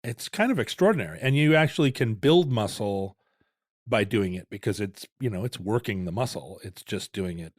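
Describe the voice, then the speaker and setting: A man's voice: fairly low pitch, animated, moderate pace, no echo, studio quality. A man co-hosting a podcast in casual chat, joking and rambling, often correcting himself mid-sentence. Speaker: podcast co-host.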